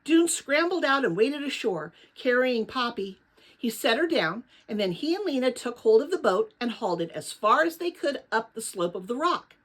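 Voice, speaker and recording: A woman reading a children's novel aloud as narrator, with dramatic, expressive storytelling. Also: on the high side, moderate pace.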